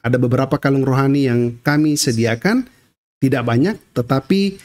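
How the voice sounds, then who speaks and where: A man's voice: mid-range voice, normal speed, darkish tone. Middle-aged man hosting. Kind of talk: presenter announcements.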